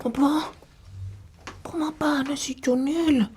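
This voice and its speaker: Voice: high-pitched, steady tone, takes it slowly, a little mellow, slight background noise. An actor in a Filipino radio drama playing a sly, gleeful, scheming child who giggles and stammers when cornered. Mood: sneaky, excited. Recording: music bed behind the voice.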